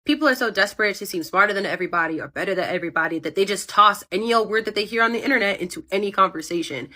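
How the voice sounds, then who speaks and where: Fast, bright-voiced woman ranting. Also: medium pitch. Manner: fast, emphatic, exasperated. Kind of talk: commentary clip.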